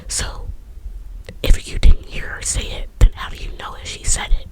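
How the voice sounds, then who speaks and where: A woman whispering the lines of a calm, firm female school principal in her story. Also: normal speed, lightly acted. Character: stern female school principal settling a dispute.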